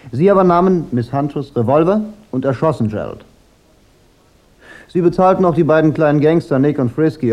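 A man playing a cool, sardonic private detective in a German radio crime drama, speaking in a dry, measured, laconic way. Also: on the high side, muffled recording. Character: cool, sardonic private detective, unmasking killers with weary confidence. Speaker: detective and first-person narrator.

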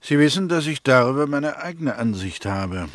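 An actor playing a confident, suspicious prosecutor in a 1960s German radio play, laying out his case deliberately and insistently. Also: mid-range voice, lively intonation, no room sound, natural voice. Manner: deliberate, insistent, narrates theories step by step.

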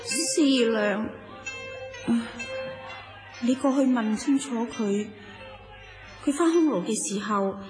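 An actress playing a sorrowful, selfless noblewoman in a radio drama, with a husky, sighing, halting delivery. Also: fairly high pitch, music in the background. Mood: wistful, hesitant. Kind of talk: Cantonese radio drama acting.

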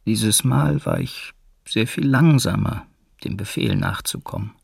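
A very gravelly former prisoner. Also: male voice, fairly low pitch, huge pitch swings, a little slow, dry recording, clean studio sound, full character voice. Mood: tense recollection. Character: wary male prisoner recounting a Gestapo interrogation. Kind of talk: radio documentary drama, testimony.

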